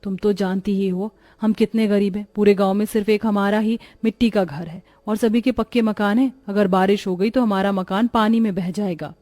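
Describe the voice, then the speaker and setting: Casting: female performer as gentle, anxious village mum for a cartoon, dry studio sound. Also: mid-range voice, steady tone, normal speed, few pauses, no echo, close to an everyday voice. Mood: worried. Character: gentle, worrying mother in a poor village. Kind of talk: Hindi cartoon dialogue.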